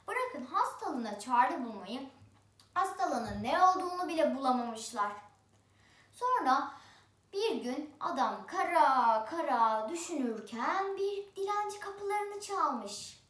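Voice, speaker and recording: A female storyteller with a very high, youthful voice tells a folk tale. She is theatrical, stretching words for effect. The recording is roomy and echoing, picked up some way from the mic. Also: a bit bright, far from the mic. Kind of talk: oral folk-tale storytelling with rhyming opening. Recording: roomy echo, away from the mic.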